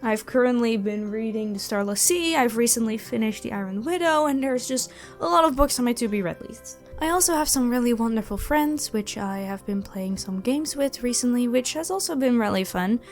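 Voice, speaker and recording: A woman's voice: a little high, animated, a little fast, few pauses. A woman talking casually and animatedly to her listeners, in a self-effacing way, like a creator answering questions. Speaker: audio roleplay creator, channel host. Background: background music.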